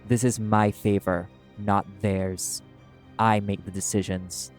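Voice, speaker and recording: A man playing a brash, guarded wrath demon in an audio roleplay, speaking in a curt, gruff, cool-headed way. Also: fairly low pitch, little pitch movement, slow pace. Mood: stern, irritated. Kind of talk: dramatic audio roleplay acting. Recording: music under the voice. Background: music.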